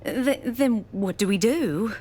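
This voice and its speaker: A woman's voice: on the high side, lively intonation, takes it slowly, mellow, breathy edge, heavily acted. A woman playing a timid, curious small-town diner waitress in an audio drama, hesitant and unsure. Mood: wary.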